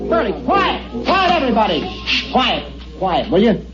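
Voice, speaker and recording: An excitable newsman with a high, slangy, pleading delivery. It is a muffled vintage radio drama with loud music behind. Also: normal speed. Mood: excited, pleading. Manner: urgent, eager, slangy. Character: excitable, pleading hard-drinking male newspaper reporter. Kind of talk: 1950s radio drama acting.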